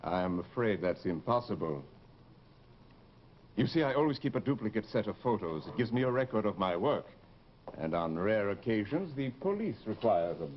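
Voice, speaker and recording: An actor playing a firm, polite plastic surgeon in an old-time radio horror drama, speaking in a formal, measured way. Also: male voice, lowish voice, big pitch swings. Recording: muffled, noisy old radio recording.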